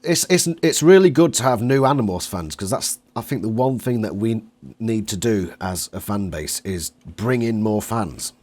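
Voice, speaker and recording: A man's voice: fairly low pitch, lively intonation, normal speed, few pauses, darkish tone. A British man in his late twenties chatting casually and with opinions as an interviewer. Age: late 20s. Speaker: interviewer, longtime fan.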